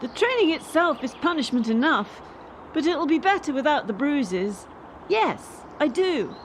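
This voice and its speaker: An actress plays a resolute young woman athlete in a radio play. The voice is high and animated, casual and frank, over faint background noise. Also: a little slow. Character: defiant young female gymnast quitting the sport.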